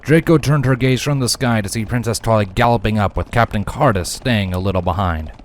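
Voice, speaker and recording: Low-voiced man narrating a story. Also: a little fast. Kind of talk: scripted fan fiction narration.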